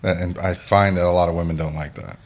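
A man's voice: sits low, fairly even intonation, a little fast. A man in his thirties hosting a casual chat, telling stories animatedly, acting out quotes and swearing freely. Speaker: podcast host.

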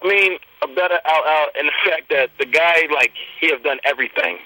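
Confident bright-voiced man from Trinidad. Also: a little high, fairly quick, no echo, low-quality recording. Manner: confident, self-promoting. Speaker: rapper guest. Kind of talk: casual interview talk.

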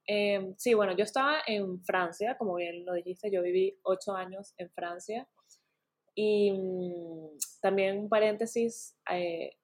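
A woman's voice: medium pitch, a little mellow, breathy edge. A woman, a naturopath guest, talking in a casual interview in an earnest way and often restarting her words.

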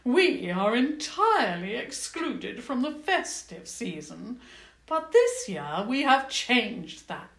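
A regal goblin queen from a storybook, cold and smug, drawling in big loud swoops. A woman acts her out at a slow pace. The room is echoey. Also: on the high side, sing-song, creaky, voice pushed up, heavily acted. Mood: grand. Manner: slow, grand and mocking.

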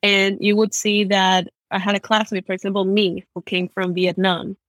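A woman's voice: mid-range voice, moderate pace, no room sound. A young woman from Ecuador, a guest chatting casually in an interview, telling stories with lots of "like". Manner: chatty, uses lots of "like", animated storytelling.

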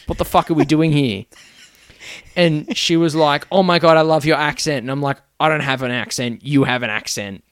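A man's voice: fairly high pitch, steady tone, normal speed, smooth, dry recording, clean studio sound. A man with an Australian accent, a podcast guest telling jokey stories in casual chat, swearing freely. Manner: swearing, joking, storytelling.

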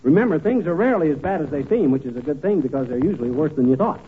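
A man's voice: a little high, lively intonation, very fast, natural voice. A man playing a blustery, bumbling but kindly married wisecracker with folksy, slangy patter, in 1940s radio comedy style. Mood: teasing. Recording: tinny, noisy 1940s radio recording.

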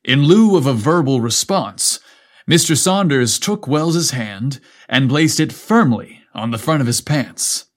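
A man narrating a scripted story as a podcast host, with a playful, cheeky manner. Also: medium pitch.

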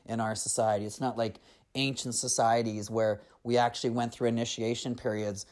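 A storyteller narrating and reflecting on a tale in an earnest, unhurried, reflective way. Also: mid-range voice, little pitch movement, darkish tone.

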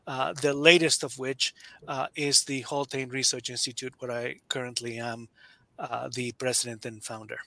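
Man from nicaragua in his 50s, bright forceful voice, lots of uh and restarts, dry sound. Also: medium pitch, flat delivery, normal speed, gravelly, dry recording. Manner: hesitant, many fillers and restarts. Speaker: guest author. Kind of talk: casual interview talk.

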